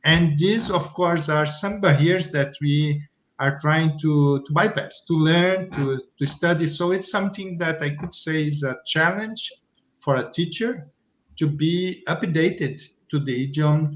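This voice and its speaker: A man from Brazil with a Brazilian Portuguese accent, a native Portuguese speaker and teacher, talking in a casual panel discussion and often restarting as he searches for words. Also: fairly high pitch.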